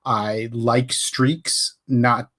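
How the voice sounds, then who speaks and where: A man hosts a casual live webcast with a medium-pitched voice. He muses aloud in a conversational way, as if thinking things through with the people watching. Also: level tone, drawn-out words, fairly bright tone. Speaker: host of a gambling webcast.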